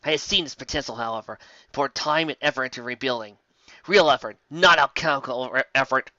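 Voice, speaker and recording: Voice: medium pitch, fairly quick, bright, forceful tone, dry recording, highs cut off. A host reading fan fiction comedically, with theatrical, exclamatory delivery and sarcastic asides. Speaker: host of a fanfic reading show. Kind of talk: comedic dramatic reading with mocking commentary.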